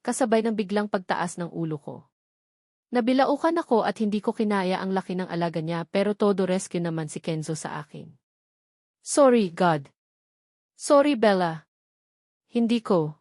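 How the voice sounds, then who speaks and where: A woman's voice: medium pitch, fairly quick, darkish tone, no room sound. Woman narrating a romance novel, dry close sound. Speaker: audiobook narrator. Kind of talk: scripted first-person romance novel narration.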